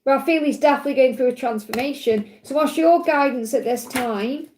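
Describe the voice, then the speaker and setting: A woman from Stoke-on-Trent with her local accent and a high voice, reading tarot cards live for viewers. She speaks in a soothing, reassuring way and keeps checking in with "okay?". Her pitch sits well above most women's. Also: fairly quick, heavy background noise. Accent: Stoke-on-Trent English. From England (Stoke-on-Trent). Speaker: tarot reader on a livestream. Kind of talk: live card reading answering viewer questions.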